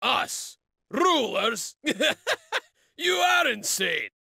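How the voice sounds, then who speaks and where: Raspy, high-pitched maniac warrior. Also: male voice, sing-song, extremely slow, no echo, studio quality, full character voice. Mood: incredulous, manic. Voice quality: raspy, strained, sharp. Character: wild, maniacal male wolf clan warrior, eager to kill. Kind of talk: dramatic fantasy game voice acting.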